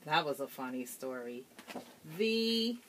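A middle-aged woman reading a children's storybook aloud in a deliberate, expressive way. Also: very deep voice, a little hiss or hum. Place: small bedroom indoors.

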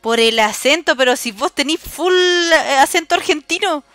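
Bright-voiced Chilean woman streamer. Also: sits high, animated, normal speed, no room sound, faint music behind. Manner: chatty, laughs often, slangy. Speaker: streamer host. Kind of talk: casual voice-chat talk.

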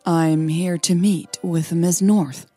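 Woman playing a cool, curt businesswoman, low-voiced. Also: fairly even intonation, slow, mellow, husky, soft background music. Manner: cool, clipped. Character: curt, cool young businesswoman, privately exasperated.

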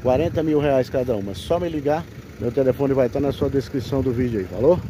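A man's voice: mid-range voice, quick pace. A Brazilian man giving a casual, repetitive sales patter as a used car broker filming a walkaround for viewers. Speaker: used car broker presenting a vehicle for sale. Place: outdoors at a roadside car fair. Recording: outdoor street noise, faint traffic.

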